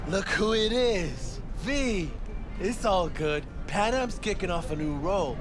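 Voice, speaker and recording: An actor playing a tough, hot-tempered nomad in a video game, speaking in blunt, rough slang. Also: male voice, sits high, expressive, measured pace, over music. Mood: easing, friendly.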